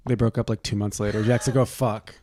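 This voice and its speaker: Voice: mid-range voice, little pitch movement, brisk, warm tone, a little hiss or hum. A podcast co-host in casual comedic banter, riffing sarcastically and swearing freely.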